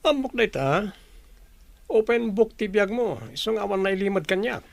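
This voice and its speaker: A man's voice: high-pitched, moderate pace, vocal fry. A man acting an earnest, pleading suitor in an Ilocano romance audio drama.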